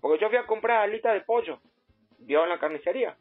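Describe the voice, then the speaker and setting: A man playing an insistent, simple provincial customer with an Argentine accent, complaining in a halting, repetitive way on a prank call. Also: sits high, lively intonation. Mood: aggrieved. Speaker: prank caller. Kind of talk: improvised phone prank. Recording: muffled phone line, faint music behind.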